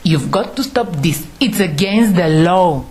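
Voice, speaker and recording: A woman's voice: lowish voice, expressive, a little slow, a little mellow, breathy edge. A woman playing an upright, indignant wife and mother in a horror audio drama, firm and emphatic.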